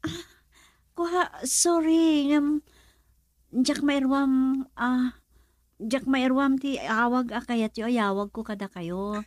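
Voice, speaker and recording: A woman's voice: high-pitched, takes it slowly, pauses often, no room sound, studio quality. A woman with an Ilocano Filipino accent acting in a radio drama as a modest, hesitant young working woman and respectful daughter. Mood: shy, flustered.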